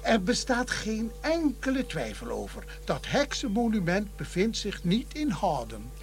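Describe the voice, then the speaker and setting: An actor playing a fussy, scatterbrained village vicar in a Dutch radio play, hurried and dithering, often repeating himself. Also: male voice, high-pitched, huge pitch swings, slight background noise, exaggerated voice. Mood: chatty, self-important.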